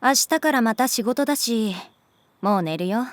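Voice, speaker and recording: A woman's voice: fairly high pitch, expressive, normal speed, close to an everyday voice. An actress playing an easygoing, teasing woman teacher in a Japanese voice drama, speaking casually and bluntly. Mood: calm, caring. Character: easygoing, teasing adult woman teacher who loves beer.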